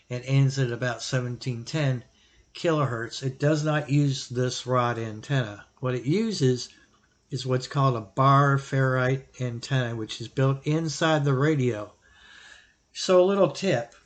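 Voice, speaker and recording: A man hosting a hobby video, explaining gear in a casual, plain-spoken, unscripted way with halting pauses. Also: mid-range voice. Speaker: radio hobbyist host answering viewer question. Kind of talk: casual explanatory product talk.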